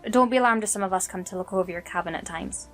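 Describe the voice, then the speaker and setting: A woman playing a courteous, watchful forest ranger leader in a fantasy audio story, speaking in a formal, measured, polite way. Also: mid-range voice, soft background music, close to an everyday voice. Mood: polite, cautioning.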